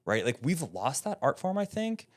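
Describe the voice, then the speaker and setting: A man talking casually as an interview guest, emphatic and animated, often checking in with "right?". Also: mid-range voice, moderate pace. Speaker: podcast network guest.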